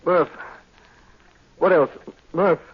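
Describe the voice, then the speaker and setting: An American man playing a determined, grave gentleman crime-fighter in a 1940s radio drama. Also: fairly high pitch, fairly even intonation, very slow, slightly rough, heavily acted. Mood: grim, resolute. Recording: noisy muffled 1940s radio recording.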